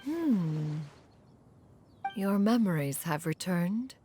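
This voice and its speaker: A woman voicing a dignified, aloof immortal sage in a game, with stately, formal and measured speech. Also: lowish voice, expressive, music in the background. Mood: composed. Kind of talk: dramatic game voice acting.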